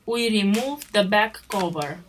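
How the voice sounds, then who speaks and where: A woman's voice: mid-range voice, expressive, unhurried. A woman reading a scripted instructional narration as a tutorial narrator, in short step-by-step statements. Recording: clearly noisy track with clicks.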